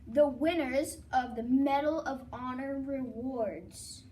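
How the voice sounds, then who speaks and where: A woman's voice: high-pitched, takes it slowly, mellow, far from the mic, a little hiss or hum, poor audio. A young girl co-hosting a school news broadcast, reading in a sing-song way. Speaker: student co-anchor.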